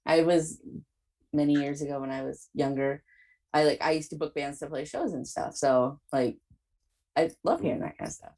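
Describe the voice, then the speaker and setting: A woman's voice: sits low, normal speed, mellow. A woman hosting a podcast in casual interview chat, effusive and informal, often saying "like". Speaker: podcast host.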